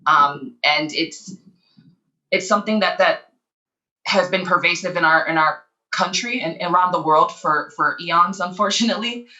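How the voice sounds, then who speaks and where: A woman's voice: lowish voice, moderate pace, sharp tone. A woman giving an earnest, somewhat hesitant personal account as a panelist on a video call. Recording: slightly dull video call audio.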